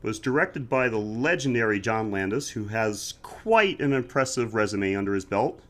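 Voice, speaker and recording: A man with a stuffy, slightly scratchy voice, chatting easily as a podcast co-host. He talks at length and runs through prepared facts in a relaxed way. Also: fairly low pitch, moderate pace. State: congested, slightly scratchy throat. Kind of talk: casual podcast chat.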